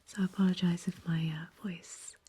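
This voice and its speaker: A young woman speaking very softly in a gentle, halting ASMR makeup chat, her whispery voice slightly croaky and hoarse after an illness. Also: fairly low pitch, little pitch movement. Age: young adult. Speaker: ASMR vlogger.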